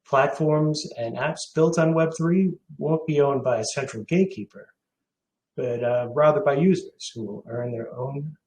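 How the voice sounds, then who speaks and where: A man in his forties from Hong Kong, answering questions as a company CEO on a video call, pausing with many filler sounds. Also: mid-range voice, darkish tone, reverberant, slight background noise, low-quality recording. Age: 40s.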